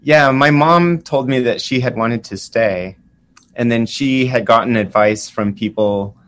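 A man in his forties, born in Vietnam, speaking as a physician guest and telling his family story in a relaxed, conversational way. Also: mid-range voice, unhurried. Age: 40s. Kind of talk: conversational interview.

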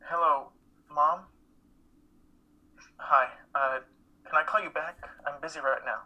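Man performing troubled male college student, higher-pitched, piercingly bright, terse, halting, guarded, stage play reading. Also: steady tone, heavily acted, low-quality recording. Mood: stunned grief. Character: withdrawn male college student, grieving his estranged father.

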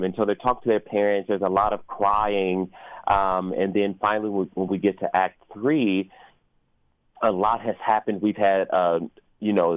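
A man speaking as an interview guest, explaining things in a measured, thoughtful and articulate way. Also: sits low, clear, resonant tone, no room sound, dull audio. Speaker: opera performer and guest.

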